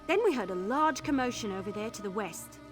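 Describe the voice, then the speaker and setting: A spirited young female scout from a fantasy game, played by a woman, speaks in a high, very lively voice. She is chatty and mischievous, yet protective of her comrades. Music plays underneath. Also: a little fast, close to an everyday voice. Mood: hesitant, gossipy. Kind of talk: video game character acting. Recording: game dialogue over background music.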